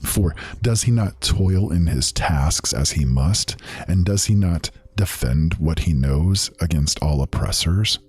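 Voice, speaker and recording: A man's voice: sits low, expressive, slow, few pauses, a little mellow, husky, no echo, voice pushed down, exaggerated voice. A man voicing a proud, earnest mage who recounts his past in solemn, archaic storybook phrasing. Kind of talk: dramatic fantasy story narration.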